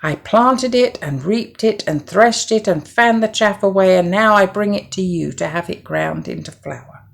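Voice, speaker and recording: A woman's voice: medium pitch, creaky, voice pushed up. Woman voicing a firm, emphatic little red hen. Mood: proud. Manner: emphatic, deliberate, clucking.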